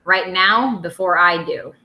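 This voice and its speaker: A woman teacher reading a picture book aloud to young children in an expressive, simple way. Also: lowish voice, a little slow, roomy sound, rough recording.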